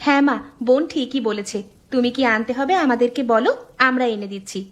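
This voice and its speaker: A woman's voice: fairly high pitch, a little fast, dull audio, natural voice. A woman voicing a dutiful young elder daughter in a village cartoon, polite and explanatory. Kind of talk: cartoon dialogue acting.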